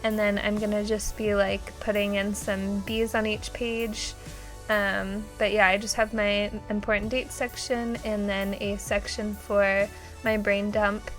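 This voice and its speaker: A woman's voice: medium pitch, a little slow, faint music behind. Nasal young woman with a cold. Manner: relaxed, chatty, many ums and likes. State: getting over a cold. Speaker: bullet journal vlogger. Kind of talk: casual voice-over narration.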